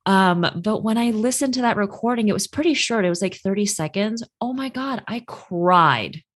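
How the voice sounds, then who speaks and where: A woman whose first language is Chinese, hosting a casual interview and telling stories in an animated way, with many "like" fillers. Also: medium pitch, moderate pace. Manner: animated storyteller, quotes people in lively voices. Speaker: host, career astrologer.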